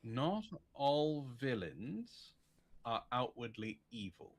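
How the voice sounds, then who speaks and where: A man of middle pitch who jokes in a dry, teasing way and slips into mock-sinister threats. His intonation is lively and rolls up and down. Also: slow. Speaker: stream co-host. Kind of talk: playful banter on a live stream.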